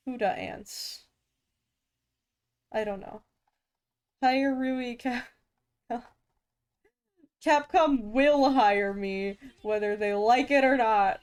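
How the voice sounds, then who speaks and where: Young woman, stutters and restarts, slow unhurried chat, faint music behind. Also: a little high, a little mellow. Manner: stutters and restarts often, self-deprecating. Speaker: VTuber art streamer. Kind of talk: casual live-stream chat while drawing.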